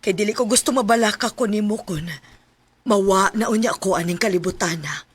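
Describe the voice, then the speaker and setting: An actress playing a gentle, worn-down mother in a radio drama, husky and tender. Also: medium pitch, fairly quick, slight background noise, close to an everyday voice. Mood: tender, concerned. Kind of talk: Filipino radio drama acting.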